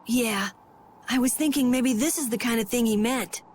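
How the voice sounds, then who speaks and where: A woman acts out a young boy in a video game. The voice is very high, eager and earnest with a sheepish edge, over faint background noise. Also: normal speed, lightly acted.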